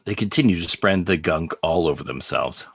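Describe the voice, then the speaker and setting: A man's voice: low voice, normal speed, gravelly, a bit bright, breathy edge, no room sound, clean studio sound. A man reading stage directions as scripted, present-tense narration for a table read. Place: remote video call table read, dry sound.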